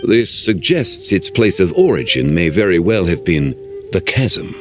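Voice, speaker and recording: Deep, formal scholar. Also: male voice, huge pitch swings, heavily acted. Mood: calm, courteous. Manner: formal, measured, erudite. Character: composed ancient scholarly man, formal and unhurried. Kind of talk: video game voice acting. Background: faint game music.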